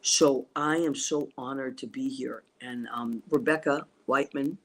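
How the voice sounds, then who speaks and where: A woman's voice: very deep voice, steady tone, measured pace. Enthusiastic rambling woman host. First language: Italian. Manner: rambling and enthusiastic, many fillers and restarts. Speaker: talk show host. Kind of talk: casual livestream chat. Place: abroad on a trip, streaming remotely.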